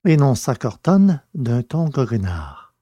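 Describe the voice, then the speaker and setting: A man narrating a scripted adventure story in a measured, literary way. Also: medium pitch, very animated, dark tone, husky, no echo, dull audio.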